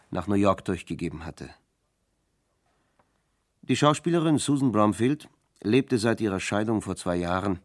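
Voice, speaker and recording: A man's voice: sits low, no room sound, studio quality. A man reading scripted narration for a radio crime play in a sober, factual manner.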